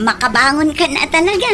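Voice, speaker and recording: A woman acting a caring, coaxing village woman in an Ilocano comedy radio drama, with an expressive delivery and an Ilocano accent. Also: high voice, moderate pace, very noisy. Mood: caring, cheerful.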